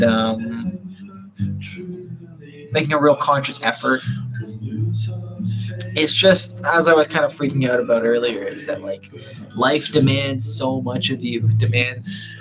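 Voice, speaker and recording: Rambling Canadian-born man, lo-fi stream. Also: mid-range voice. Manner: musing, swears casually, many fillers. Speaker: live streamer. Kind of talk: rambling casual monologue.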